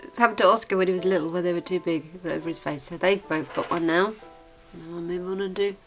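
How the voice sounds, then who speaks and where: A woman chatting casually in a gentle, unscripted, self-deprecating way as she co-hosts a craft video. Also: fairly low pitch, quick pace. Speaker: craft channel co-host. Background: background music.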